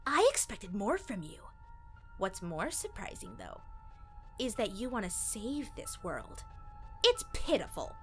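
A woman's voice: mid-range voice, very animated, unhurried, soft background music. A woman voicing a sinister, mocking demon child in a dramatic comic dub, taunting cruelly and sometimes dropping into a whisper.

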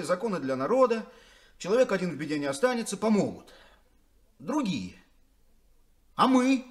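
Fired-up sailor, high lively voice, emphatic, old radio play. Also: male voice. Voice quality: impassioned, emphatic. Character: earnest male sailor, passionate speaker at a crew meeting. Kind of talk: 1970s radio play acting.

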